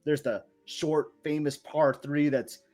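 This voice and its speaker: A man co-hosting a podcast, chatting casually and telling stories with enthusiasm and quick asides. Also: a little high, fairly even intonation, darkish tone. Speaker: podcast co-host. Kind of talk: casual chat.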